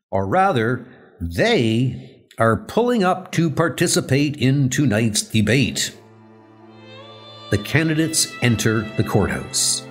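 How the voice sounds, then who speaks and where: A man's voice: a little high, full character voice. A man playing a formal television news reporter announcing a special report in an audio comic book.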